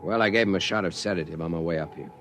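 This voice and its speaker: An actor playing a sober, guarded spaceship officer in a 1950s radio drama, humane and reflective. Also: male voice, sits low, racing, fairly bright tone. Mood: reflective, subdued. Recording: noisy vintage radio recording.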